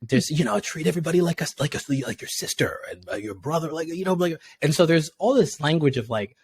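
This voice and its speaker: A young man from Tanzania, a podcast guest, chatting casually and acting out quotes with sudden jumps in pitch, laughing often. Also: animated, fast, slightly rough. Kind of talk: casual interview chat.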